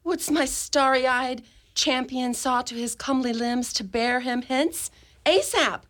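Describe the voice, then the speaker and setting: A woman's voice: high-pitched, slow pace, a bit bright. A woman playing a teasing, impatient, lovestruck young noblewoman in a radio drama, with theatrical archaic phrasing. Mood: urgent. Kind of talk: amateur radio drama in Shakespearean verse.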